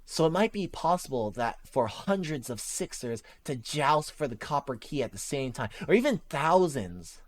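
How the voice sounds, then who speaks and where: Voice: medium pitch, very animated, normal speed, hardly any pauses, pitched above the performer's own voice, natural voice. A reader voicing a sarcastic, impatient young female gamer.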